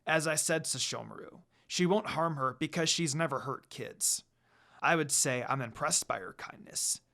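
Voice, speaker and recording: A man's voice: on the high side, moderate pace, gravelly, bright, forceful tone. A man voicing an earnest half-demon younger brother in a subdued, sincere manner. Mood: reassuring.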